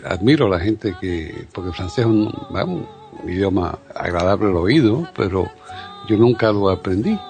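An older man hosting a radio show, chatting and rambling with repeated words as he tells a story. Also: on the low side. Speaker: radio host. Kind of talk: casual radio interview.

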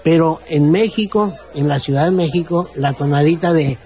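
A man's voice: a little high, dark tone. A man with a Baja California Mexican accent, explaining things at length in a casual group conversation and acting out local sayings. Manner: explanatory, drawn-out, acts out sayings.

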